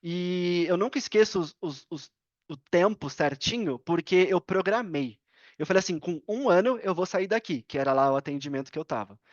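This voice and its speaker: High-voiced Brazilian man telling his story. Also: lively intonation, moderate pace, no room sound, muffled recording. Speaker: podcast guest. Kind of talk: casual interview storytelling.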